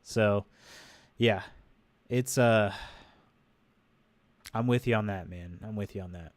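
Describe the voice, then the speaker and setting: A man's voice: fairly low pitch, slow pace, dry recording. A congested man chatting loosely on a game livestream, rambling through his thoughts with plenty of fillers and restarts. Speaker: streamer. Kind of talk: casual livestream chat. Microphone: desk microphone. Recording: dry close sound.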